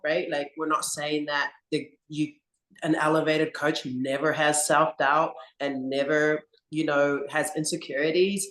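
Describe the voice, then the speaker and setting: A woman rugby coach answering interview questions in a slow, earnest, reflective way. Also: low voice, fairly bright tone. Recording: roomy echo.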